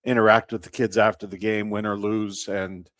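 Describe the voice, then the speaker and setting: A man speaking unscripted as a guest in a sports interview, measured and halting, often pausing mid-sentence. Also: lowish voice, level tone, a bit bright, dry recording, studio quality. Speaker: baseball team representative, interview guest.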